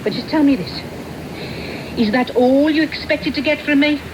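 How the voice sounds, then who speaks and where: An actress playing a shrewd, mercenary woman with a British accent, sly and deliberate, in a 1990s radio drama. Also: high-pitched, dull audio, close to an everyday voice. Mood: wary, curious. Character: shrewd, mercenary woman, coolly bargaining, self-possessed. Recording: noisy medium-wave radio recording.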